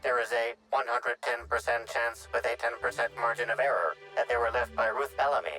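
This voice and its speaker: A man voicing a literal-minded investigative machine in a video game, speaking in clipped, formal, deadpan phrases. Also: medium pitch, brisk, natural voice. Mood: clinical. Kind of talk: video game character acting. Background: music.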